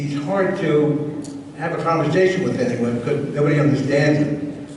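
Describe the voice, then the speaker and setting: An older man, a grandfather, giving slow, halting, heartfelt remarks and introducing speakers at a convention, heard from far off in a large hall. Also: fairly high pitch, a little mellow, a little hiss or hum. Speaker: chapter member introducing speakers. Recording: echoey hall, distant mic.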